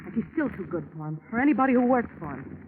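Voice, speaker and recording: A woman's voice: a little high, big pitch swings, fast talker. A woman playing a wary, blunt, tough-talking young city girl in 1940s radio drama style. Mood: bitter. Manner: blunt, suspicious, slangy. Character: wary, tough-talking nineteen-year-old city girl, loyal and bitter. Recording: tinny, noisy old radio broadcast.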